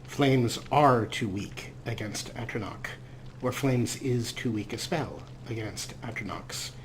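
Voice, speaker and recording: A man's voice: mid-range voice, slow pace, poor audio. A man hosting a let's play with casual, playful game commentary, wordy and wry, with a theatrical streak. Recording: clear background noise, game ambience.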